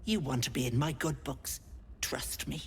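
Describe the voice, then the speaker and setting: An actress playing a bossy, sly old hag posing as a sweet auntie, with cloying pet names that turn into scolding threats, in a video game. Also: female voice, very deep voice, normal speed, slight background noise. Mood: sly coaxing. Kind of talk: theatrical video game character acting.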